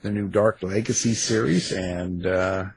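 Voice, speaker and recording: A man hosting a radio show, chatting casually and asking halting, rambling questions. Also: low-pitched, fairly even intonation, creaky, a little hiss or hum. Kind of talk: casual interview chat.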